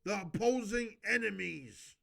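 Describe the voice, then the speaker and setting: Amateur male reader, high register, slow halting delivery, self-correcting, breaks into emphatic off-the-cuff advice. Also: animated, vocal fry, sharp tone. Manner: stumbles over words and corrects himself; emphatic, exhorting. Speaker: amateur video host reading a book aloud. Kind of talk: halting read-aloud with improvised casual commentary.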